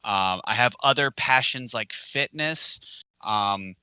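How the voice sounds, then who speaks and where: A man co-hosting a casual podcast, chatting in a relaxed way with lots of fillers. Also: medium pitch, slow pace, smooth, no room sound. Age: adult with kids. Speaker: podcast co-host, martial arts teacher.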